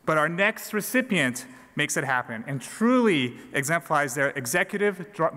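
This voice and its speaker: Man, 40s, polished emcee voice, high and bright, booming in a hall. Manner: polished, rising energy on the introduction. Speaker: awards ceremony presenter. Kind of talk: scripted award introduction. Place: large banquet hall stage. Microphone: podium microphone. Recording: reverberant hall PA sound.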